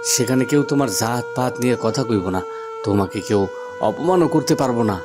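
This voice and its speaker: Guy playing young village romantic hero, passionate and sincere, mid pitch, music bed, cartoon. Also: normal speed, close to an everyday voice. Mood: urgent, pleading. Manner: impassioned, earnest. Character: earnest young lover, village headman's son. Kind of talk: cartoon voice acting.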